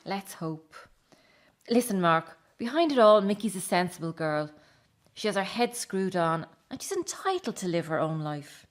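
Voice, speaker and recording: A middle-aged woman acting in a radio drama as a remorseful, hurt estranged wife and mother, speaking in an emotional, halting, confessional way. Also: fairly low pitch, very animated. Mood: concerned, gentle.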